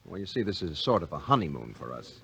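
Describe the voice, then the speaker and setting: A man's voice: sits low, sing-song, fast, vocal fry, pushed and bright, full character voice. An American man playing a moody newlywed diver in a 1950s radio drama, shifting from easygoing to grouchy. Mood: evasive. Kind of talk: 1950s radio drama acting with first-person narration. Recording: vintage 1950 radio broadcast.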